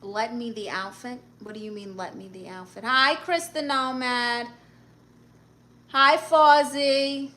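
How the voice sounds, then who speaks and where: A woman's voice: fairly high pitch, normal speed, hardly any pauses, sharp tone. A woman with a New York accent hosting a live stream, reading viewer comments aloud in a brash, sassy, joking way. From Brooklyn. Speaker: livestream host.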